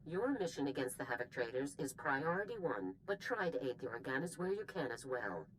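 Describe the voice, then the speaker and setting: An actress playing a stern, brisk military general, giving a formal, authoritative mission briefing in a video game. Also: female voice, very deep voice, big pitch swings, slight background noise, rough recording. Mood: businesslike briefing. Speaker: commanding officer.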